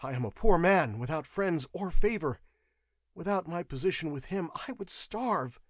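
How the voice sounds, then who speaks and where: A man voicing a cowardly, frightened clerk in an audiobook, shrill, pleading and stammering with nerves. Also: big pitch swings, normal speed, no room sound, studio quality. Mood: cowed, whimpering. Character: whimpering terrified clerk, a weak cowardly man.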